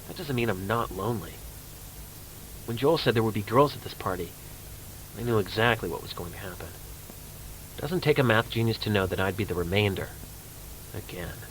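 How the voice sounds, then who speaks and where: A young man acting a lonely, awkward, lovestruck character in an amateur horror drama, speaking in an earnest, halting, self-pitying way. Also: on the low side, expressive, close to an everyday voice, rough recording. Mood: bitter, self-pitying. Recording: clear background noise and ambience.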